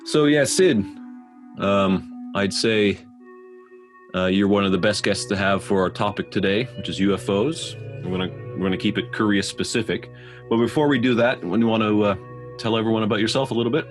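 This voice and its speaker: A man's voice: on the low side, moderate pace, soft background music. A man co-hosting a podcast, asking long, rambling questions and often repeating words. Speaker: podcast co-host. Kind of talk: casual chat.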